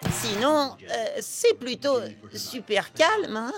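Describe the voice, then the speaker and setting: A young boy blob from a cartoon, whiny and dramatic. The voice sits high and jumps around in pitch. Music plays clearly underneath. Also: big pitch swings, takes it slowly. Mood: awkward, regretful. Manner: whiny, melodramatic. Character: dramatic, easily exasperated young male amoeba. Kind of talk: French animated cartoon dubbing. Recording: music behind the voice.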